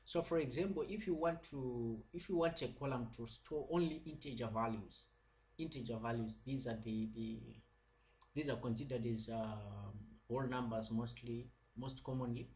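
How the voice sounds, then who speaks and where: A man lecturing slowly and patiently over slides. His pitch sits in an ordinary middle range, and he repeats points often and leans on many fillers. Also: darkish tone. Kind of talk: slow recorded lecture.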